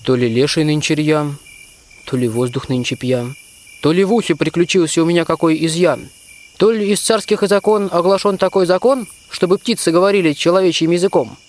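A man's voice: fairly high pitch, steady tone, a little fast, darkish tone, natural voice. A young man playing a plain, good-natured archer who muses in folksy rhyme in a fairy-tale radio play. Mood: puzzled. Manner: folksy, musing recitation.

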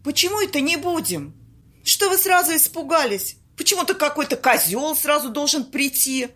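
Brash, cheeky female friend for a radio comedy, played by an amateur actress: high voice, very animated, unhurried bantering delivery. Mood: cheeky, argumentative. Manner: bantering, colloquial, theatrical. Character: brash, cheeky woman fond of a drink. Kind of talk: amateur audio play acting.

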